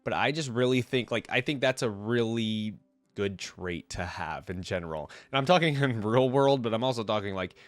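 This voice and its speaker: A man's voice: fairly low pitch, animated, normal speed, dry recording. A man with a head cold giving casual running commentary as the host of a reaction video. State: congested with a cold.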